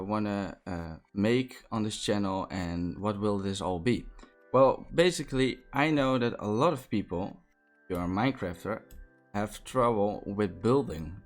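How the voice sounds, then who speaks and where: Upbeat Dutch-accented man, Minecraft tutorial host. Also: on the low side. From Netherlands. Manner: enthusiastic, with frequent fillers and restarts. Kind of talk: casual gameplay commentary.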